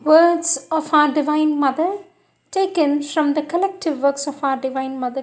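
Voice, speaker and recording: A woman reading spiritual teachings aloud in a devotional style, deliberate and emphatic, repeating phrases for emphasis. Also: high voice. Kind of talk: devotional read-aloud with announced quotations.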